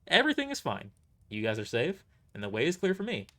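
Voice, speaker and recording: A man's voice: mid-range voice, wildly swooping pitch, fast, sharp tone, voice pushed up. Guy doing a cocky merc squad leader, brash n flippant, voice jumping all over, audio drama. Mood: boastful. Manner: boastful, dismissive, casual.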